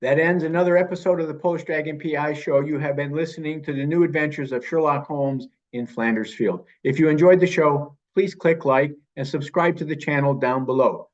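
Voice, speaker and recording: A man's voice: on the high side, brisk, hardly any pauses, lo-fi sound. A male podcast host reading a scripted outro in a plain, matter-of-fact way.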